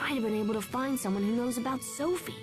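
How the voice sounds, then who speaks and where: A woman's voice: on the high side, very fast, natural voice. A woman playing a brash, headstrong young boy with a caring big-brother streak in a video game dub. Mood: sulky, defiant. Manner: casual, lively, impulsive. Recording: music under the voice.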